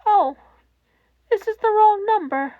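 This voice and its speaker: A woman's voice: very high voice, animated, slow, dry recording, phone-quality sound, pitched above the performer's own voice, full character voice. A woman voicing a despairing young boy in a fan-fiction reading, fragile, trembling and pleading.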